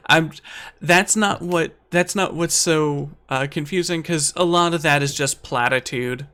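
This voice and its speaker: A man's voice: fairly high pitch, a little slow, bright, forceful tone. An American man who is a guest in a casual conversation, talking in a thoughtful, self-deprecating way. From United States. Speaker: guest YouTuber.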